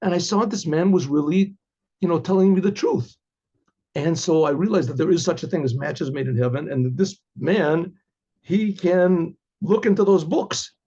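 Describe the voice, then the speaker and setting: Husky, mellow man telling stories. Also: a little high, normal speed. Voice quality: frequent throat-clearing. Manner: rambling, conversational, frequent hesitations and repetitions. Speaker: rabbi telling religious stories. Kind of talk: informal oral storytelling with religious commentary. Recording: dull, lo-fi recording.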